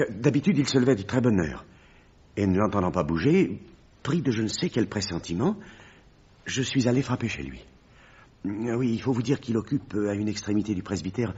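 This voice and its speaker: An actor playing a shaken, urgent village pastor in a French radio drama. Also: male voice, lowish voice, a little mellow, husky. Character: agitated male village pastor, shaken and breathless with alarm. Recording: dull, muffled vintage radio recording.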